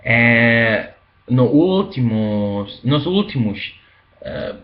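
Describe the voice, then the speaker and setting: A young man speaks in a language he learned, with a non-native accent. He talks slowly, pausing on fillers and repeating words as he searches for them. His voice sits in a middle register and the recording is slightly dull. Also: medium pitch, lively intonation. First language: not Italian, Portuguese or Thai. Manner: slow and hesitant, many fillers and restarts. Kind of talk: casual spoken language demonstration.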